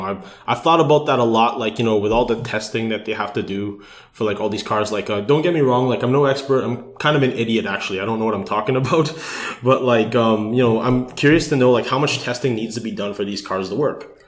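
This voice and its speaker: A man's voice: fairly low pitch, fast talker. A man, in casual podcast chat, with loose, filler-filled phrasing. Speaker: podcast participant. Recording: roomy, echoing sound.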